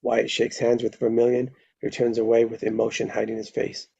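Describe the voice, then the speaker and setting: A man's voice: mid-range voice, completely flat delivery, a little fast, gravelly, dark tone, husky, no room sound. A man reading stage directions as narrator at a live screenplay table read, on a dull lo-fi microphone.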